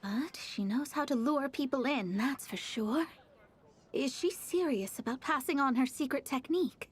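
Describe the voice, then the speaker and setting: An actress playing a proud, testy young woman astrologer in anime-style video game dialogue, brisk and haughty. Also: sits high, slightly rough. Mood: eager, brisk.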